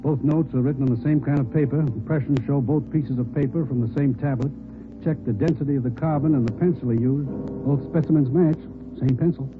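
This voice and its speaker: A man's voice: mid-range voice, brisk, natural voice. A man playing a crime lab technician explaining evidence in a 1950s radio drama. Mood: explanatory. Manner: plain and explanatory. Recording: tinny old radio broadcast with music.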